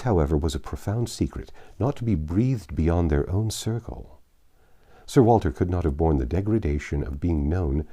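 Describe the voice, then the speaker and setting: Deep, husky male audiobook narrator. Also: dark tone. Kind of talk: literary audiobook narration, reading a classic novel.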